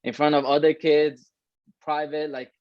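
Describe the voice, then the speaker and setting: A man's voice: on the high side, little pitch movement, unhurried, no room sound. A man in his twenties from Iran, chatting casually and swearing freely, filling his talk with "like" and "right?". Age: 20s. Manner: loose, swearing, lots of "like" and "right?". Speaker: co-host. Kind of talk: casual chat. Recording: dull, dry lo-fi sound.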